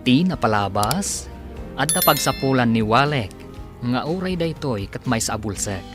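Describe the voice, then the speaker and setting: Male serial narrator, dramatic radio announcing, middle register, over a clear music bed. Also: medium pitch, animated, normal speed. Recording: music behind.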